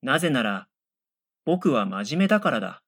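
A man playing an earnest, deadpan middle school boy in a radio drama, matter-of-fact and given to dry retorts. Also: medium pitch, moderate pace. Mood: earnest narrating. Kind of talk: radio drama first-person narration and dialogue.

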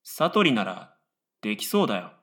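A man's voice: fairly low pitch, sing-song, moderate pace. A man playing a middle-school boy, the dry, exasperated straight man of a radio comedy, giving retorts and wry narration. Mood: dry exasperation. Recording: loud background music.

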